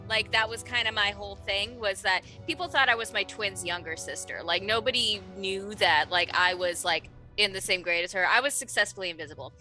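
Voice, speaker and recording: A woman's voice: mid-range voice, a little fast, few pauses, pushed and bright. A woman chatting informally and enthusiastically as a player on a roleplaying stream. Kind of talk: casual chat. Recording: faint music behind. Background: music.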